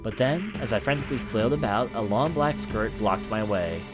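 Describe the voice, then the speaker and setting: A man's voice: lowish voice, expressive, normal speed. A man reading a visual novel aloud as a let's play commentator, telling it dramatically and sometimes stumbling over words. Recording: music behind the voice. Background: visual novel music.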